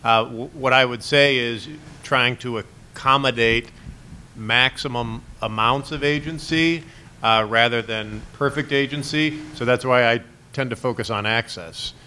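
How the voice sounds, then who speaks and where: A man's voice: medium pitch, a little slow, bright, forceful tone, clean tone. A man on a conference panel, earnestly explaining his view. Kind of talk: panel discussion answer. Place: conference room. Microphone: table mic.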